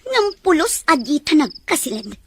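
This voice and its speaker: An actress voicing a young wife pleading with her cold husband, speaking softly and breathily. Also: sits high, big pitch swings, moderate pace, slight background noise. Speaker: wife in an audio drama.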